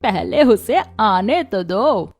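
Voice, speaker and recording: A woman's voice: mid-range voice, very animated, extremely slow, a little mellow, slightly rough, full character voice. A woman voicing a scheming, greedy aunt in a family cartoon story. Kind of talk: Hindi cartoon story acting.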